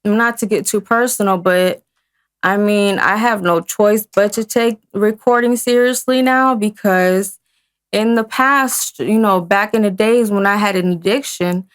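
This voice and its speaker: A woman in her thirties, a guest singer in a casual interview, talking in halting phrases with frequent restarts. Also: medium pitch, clear, resonant tone. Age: 30s.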